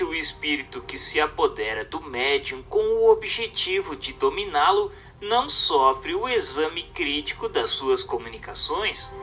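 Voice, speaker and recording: A man reading a scripted text aloud as a narrator, in a formal, didactic and expressive manner. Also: fairly high pitch, recorded from a distance.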